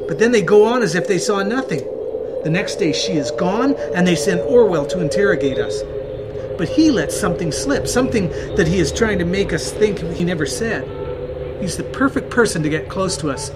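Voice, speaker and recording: A man's voice: high voice, animated, moderate pace. A man narrating and acting the lead of an audio drama, anxious and paranoid, often stammering. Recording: background noise.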